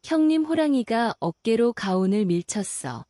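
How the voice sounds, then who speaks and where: A woman narrating a bedtime fairy tale in a calm, slow and soothing way. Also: on the high side, no room sound, clean studio sound. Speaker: storyteller.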